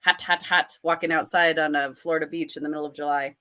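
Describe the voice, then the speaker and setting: Female game master, lowish voice, playful and descriptive, improvised tabletop narration. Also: steady tone, fast talker, bright, forceful tone. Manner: playful, with dramatic descriptive asides.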